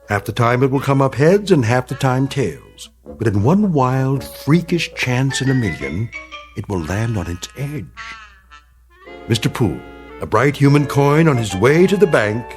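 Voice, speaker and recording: A man narrating a radio drama in a measured, dramatic way. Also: mid-range voice, very animated, faint music behind.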